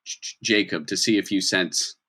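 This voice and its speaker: A man's voice: low-pitched, moderate pace, pushed and bright, breathy edge. A man improvising narration as a tabletop game master, explaining things in a conversational way.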